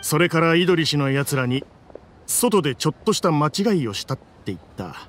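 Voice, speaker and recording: An actor playing a gruff, boastful but tender-hearted mercenary father, speaking his letter in rough, casual, teasing words in a game. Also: fairly high pitch, sing-song, fairly quick, fairly bright tone, slight background noise. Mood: regretful, affectionate. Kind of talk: voiced letter monologue in game cutscene.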